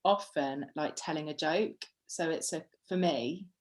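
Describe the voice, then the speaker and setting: A woman's voice: deep voice, unhurried. A woman artist in conversation, tentative, often trailing off with frequent ums. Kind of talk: conversation about art.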